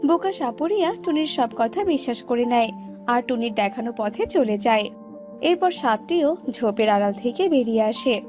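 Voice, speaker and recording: A woman's voice: on the high side, expressive, moderate pace, dull audio, over music. A woman narrating a fairy tale from a script as a storyteller.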